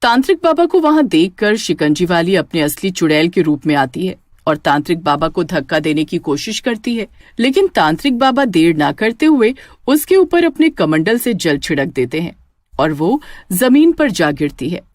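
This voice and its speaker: A woman narrates a scripted story in a dramatic storytelling style. Her pitch moves widely and expressively, and the recording is dry and close. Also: big pitch swings, moderate pace, darkish tone, dry recording.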